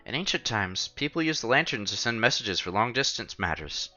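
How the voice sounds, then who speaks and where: Male streamer, expressive visual novel read-aloud, forward bright tone, faint music underneath. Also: mid-range voice, fairly quick, muffled recording.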